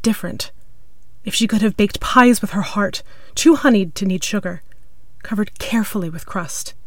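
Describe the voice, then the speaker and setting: A woman's voice: mid-range voice, moderate pace, dry recording. A woman reading a piece of scripted literary fiction as its narrator. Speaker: story narrator.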